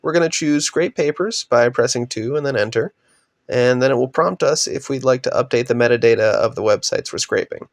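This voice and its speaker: A young man with a voice raspy from a cold, steadily narrating a slide presentation on his undergraduate research project. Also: medium pitch, a little fast, dry recording, clean studio sound. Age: young adult. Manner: steady, explanatory, trails off at sentence ends.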